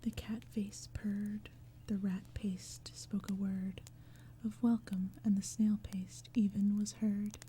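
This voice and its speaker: A woman reading poetry as ASMR in a breathy whisper, slowly and gently, with measured phrasing. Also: medium pitch. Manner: slow, gentle, measured storytelling. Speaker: ASMR reader. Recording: steady background ambience, crackling fire, forest sounds. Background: crackling fire and forest sounds.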